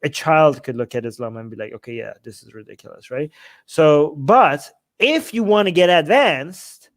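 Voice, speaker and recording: A man co-hosting a casual question-and-answer show, answering viewer questions in a blunt, animated way with many restarts. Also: on the high side, moderate pace. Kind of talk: casual Q&A chat.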